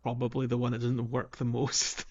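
A man's voice: medium pitch, monotone, moderate pace, darkish tone. Man podcasting in slightly dull audio. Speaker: podcast host. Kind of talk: casual video game discussion.

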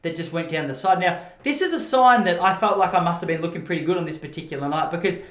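A man's voice: fairly high pitch, expressive, fast, fairly bright tone. An Australian man hosting a podcast, telling comic stories in a rambling, self-interrupting monologue. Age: adult, married. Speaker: podcast host. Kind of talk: comic storytelling monologue to camera. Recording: roomy, echoing room sound.

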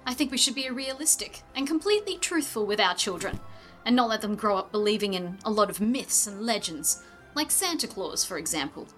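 A woman's voice: medium pitch, animated, brisk, a bit bright, soft background music, lightly acted. An actress playing a practical, no-nonsense mother in a radio drama, polite but guarded. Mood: brisk, polite. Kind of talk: old-time radio drama acting.

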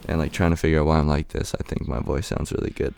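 Very low raspy young man, gravelly. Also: flat delivery, a little mellow, a little hiss or hum. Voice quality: raspy and deep right now. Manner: halting, many "um" and "like" fillers. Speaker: rapper. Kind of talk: casual interview answers.

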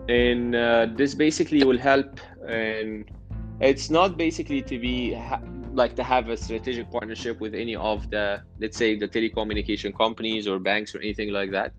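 A man's voice: medium pitch, fairly even intonation, normal speed, highs cut off, faint music behind. A man answering interview questions as a startup founder, hesitant and often repeating words as he restarts.

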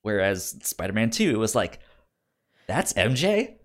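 A man co-hosting a casual film debate, stuttering on syllables and words as he talks in an animated way. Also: lowish voice, a little slow. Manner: animated, acts out mock quotes. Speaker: podcast co-host debating films.

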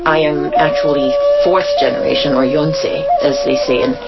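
A woman's voice: sits low, huge pitch swings, normal speed. A woman introducing herself plainly in an interview clip. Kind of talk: personal introduction. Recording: muffled with loud music behind.

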